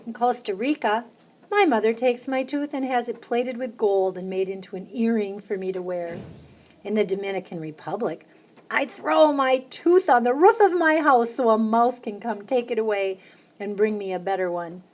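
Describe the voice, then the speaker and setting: A woman reading a children's picture book aloud, lifting her voice high and bright for the little chants. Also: moderate pace. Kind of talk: storybook read-aloud.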